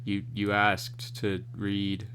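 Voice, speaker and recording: A man hosting a readalong, chatting in a relaxed and slightly hesitant way. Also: on the low side, slow pace, slight background noise. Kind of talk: casual chat.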